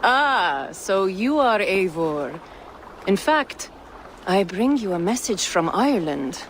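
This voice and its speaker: A woman's voice: mid-range voice, very animated, slow pace, a little hiss or hum. An actress playing a shrewd, courteous trader woman from the east in a video game, with formal, wry, playful phrasing. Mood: courteous, businesslike. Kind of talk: video game cutscene acting. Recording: music under the voice.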